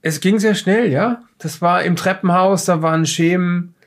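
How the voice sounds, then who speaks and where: A man playing a shifty former safecracker in an audio drama, self-justifying and rambling, with a gravelly voice and stammered restarts. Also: high voice, moderate pace. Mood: flustered, unsure. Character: shifty former safecracker defensively recounting being attacked and kidnapped. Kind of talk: improvised audio drama dialogue.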